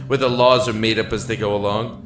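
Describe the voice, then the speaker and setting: An actor playing an earnest, anguished young man locked in a dark cell, speaking haltingly and emotionally in an audio drama. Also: on the low side, steady tone, reverberant, natural voice. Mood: weary, bitter. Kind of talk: audio drama acting and first-person narration. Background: music.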